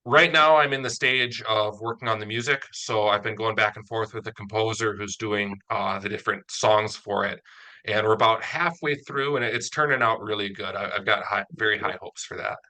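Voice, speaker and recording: A man's voice: fairly low pitch, moderate pace, sharp tone, rough recording. A man chatting casually as he interviews someone, speaking as a writer and producer of audio drama.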